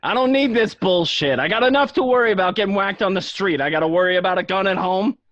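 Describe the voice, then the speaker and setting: A man's voice: high-pitched, fast, a bit bright, no echo. A man playing a hot-tempered, swearing mobster husband in an online radio play, on a dull, dry call. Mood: angry.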